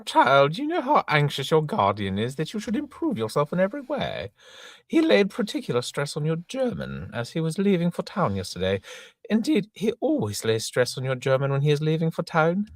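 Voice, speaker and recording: A man voicing a prim, stern older female governess in a high fluttery falsetto, reading a play aloud. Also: huge pitch swings, moderate pace, no echo, studio quality. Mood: prim scolding.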